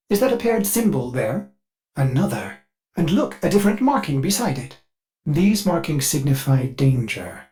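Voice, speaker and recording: An anxious, devoted ship computer for a video game. The delivery is very animated, swinging up and down with theatrical formality. It sounds like a fussy, solicitous servant. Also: male voice, fairly high pitch. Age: older. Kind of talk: scripted video game voice acting.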